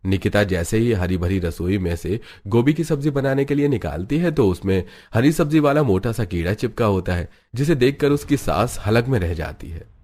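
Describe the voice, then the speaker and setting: A man's voice: fairly low pitch, expressive, moderate pace, a little mellow. A man reading scripted storytelling narration for a cartoon family tale.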